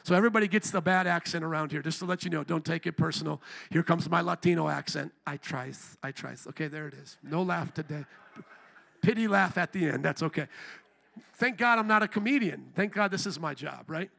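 Male pastor, high bright voice, preaching that swells into loud calls to the congregation. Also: fairly quick, gravelly. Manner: rises to loud shouted emphasis. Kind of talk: sermon. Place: church service.

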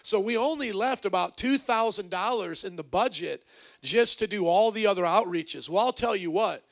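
A man preaching to his congregation with a congested, stuffed-up voice. His pitch sits high for a man, and a gravelly fry runs through it. He talks in an upbeat, rallying way. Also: moderate pace, a bit bright. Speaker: pastor. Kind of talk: energetic sermon opening. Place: church service.

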